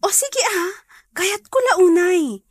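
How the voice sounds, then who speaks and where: An agreeable village woman with an extremely high voice. Her words are drawn out and exaggerated, in radio comedy style, recorded close and dry. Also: lively intonation, slow, no echo, heavily acted. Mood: eager. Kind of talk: Ilocano radio comedy acting.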